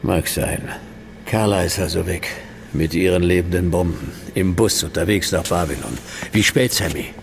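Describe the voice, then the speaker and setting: Gravelly, deep-voiced hard-boiled detective. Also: male voice, normal speed, a little hiss or hum. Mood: dry, businesslike. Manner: terse, dry, clipped sentences. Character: hard-boiled, laconic male detective narrating his own case. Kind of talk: first-person noir radio drama narration and dialogue.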